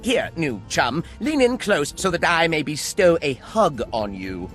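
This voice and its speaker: A man's voice: fairly high pitch, big pitch swings, a little slow, fairly bright tone, faint music behind. A man voicing a paranoid, formal-speaking creature engineer in a video game, with a theatrical, old-fashioned manner. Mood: secretive. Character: paranoid fussy male creature engineer, secretive.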